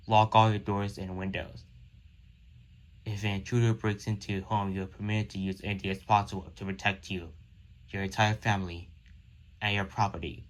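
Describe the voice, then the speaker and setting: A man reading a scripted emergency alert in a formal, measured announcer's manner. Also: deep voice, rough recording. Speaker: alert announcer and narrator of a fictional emergency broadcast.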